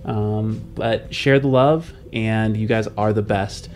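A young man hosting a podcast in casual, halting talk that drifts into asides. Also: lowish voice, steady tone, darkish tone, soft background music. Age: young adult. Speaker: podcast host and game master.

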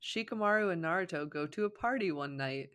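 A young woman with a fairly low voice and highly animated delivery. She co-hosts a casual fan fiction read-aloud, playful and theatrical, slipping easily into giggly banter. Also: fairly quick. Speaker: co-host reading fan fiction.

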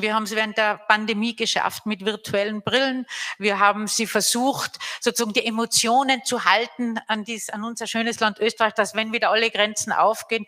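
An Austrian woman speaks on a stage panel into a handheld mic. Her voice is bright and crisp, and she talks in animated bursts with restarts. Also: mid-range voice. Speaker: panel guest from the hotel trade. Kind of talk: panel discussion.

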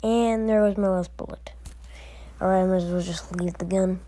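A woman performing acted voicelines for a fan animation with slow, deliberate delivery. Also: medium pitch, fairly even intonation, warm tone. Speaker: amateur voice actor.

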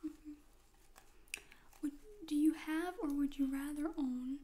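A young woman hosts a soft-spoken ASMR interview roleplay, mostly in a breathy whisper. Her voice is high yet mellow, and she takes her time, putting gentle, informal questions with pauses between them. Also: steady tone. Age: young adult. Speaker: ASMR host. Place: small room at home, indoors.

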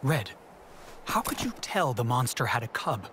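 A man's voice: mid-range voice, big pitch swings, moderate pace, fairly bright tone, a little hiss or hum. An actor playing a composed, serious elf-like warrior man in a video game. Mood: composed, curious. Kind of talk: video game cutscene acting.

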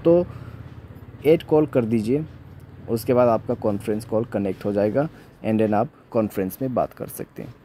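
Low, mellow man explaining steps. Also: moderate pace, a little hiss or hum. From India. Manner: instructional, step-by-step. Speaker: tech tutorial host. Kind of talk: phone troubleshooting tutorial voice-over.

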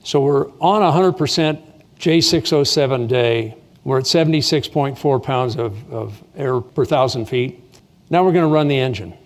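A man presenting a technical seminar talk in an animated, wry, jokey way. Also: mid-range voice.

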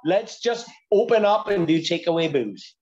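Higher-voiced man on dull call. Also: animated, moderate pace, darkish tone. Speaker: guest, bar and venue owner. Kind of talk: casual video-call chat. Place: stone-walled room in a bar.

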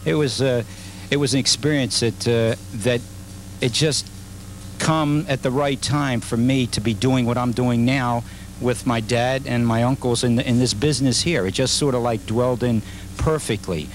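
A middle-aged restaurant manager interviewed on camera, rambling with many fillers and restarts. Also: male voice, mid-range voice, fairly even intonation, moderate pace, slight background noise. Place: indoor dinner theater stage. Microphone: handheld mic.

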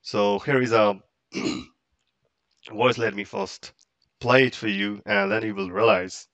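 A man explaining software step by step in casual, halting, English. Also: medium pitch, big pitch swings, vocal fry, no echo, lo-fi sound. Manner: halting, step-by-step, non-native English phrasing. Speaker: tutorial presenter. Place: close, dry indoor voice-over for a screen recording.

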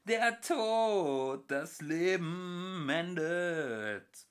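A man's voice: high-pitched, expressive, clear, resonant tone, pitched above the performer's own voice, full character voice. A man voicing an overcheerful male ship computer in an audiobook reading, whimpering hymns nasally. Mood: wailing song. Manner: drawn-out, slowed singing lines.

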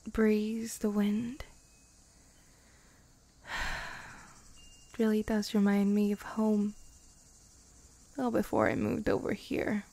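A woman voicing a centuries-old vampire girlfriend, wistful and protective, in soft, intimate ASMR roleplay that drifts into whispers. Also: on the high side, full character voice. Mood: tender, calm. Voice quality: soft, breathy whispery moments. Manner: slow, hesitant, sighing between phrases.

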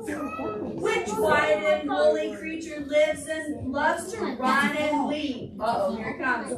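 A woman's voice: high voice, takes it slowly, over a noisy crowd. A woman who works as a children's librarian, reading aloud and chatting to toddlers in simple, encouraging phrases. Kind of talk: sing-song read-aloud and chat to toddlers. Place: community library meeting room, indoors. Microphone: distant camera across the room. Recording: echoey room from far off, loud music.

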